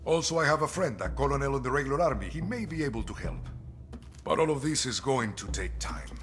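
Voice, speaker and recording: A man playing a weary, principled middle-aged military general who speaks formally and gravely in a game. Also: mid-range voice, very animated, brisk, a bit bright, a little hiss or hum. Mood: cautious.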